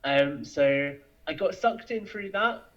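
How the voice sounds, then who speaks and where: A young man with a British accent and a fairly high voice talks as a podcast guest. He is chatty and enthusiastic, and the recording is somewhat lo-fi and dulled. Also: expressive, takes it slowly, a bit bright. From United Kingdom. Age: young adult. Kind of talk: casual chat.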